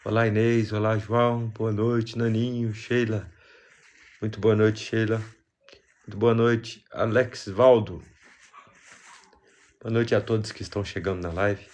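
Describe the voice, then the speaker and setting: A man's voice: lowish voice, level tone, measured pace, pauses often, a little mellow. A Brazilian man hosting a casual live stream, chatting and greeting viewers while congested with a cold.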